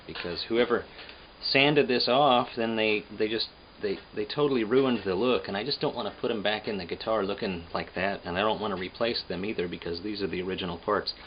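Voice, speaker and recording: A man casually explaining guitar repair work in a halting, unscripted, plain-spoken way, as a craftsman hosting his own demonstration. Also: fairly low pitch. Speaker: guitar repairer and restorer, host. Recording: dull lo-fi sound with hum and noise.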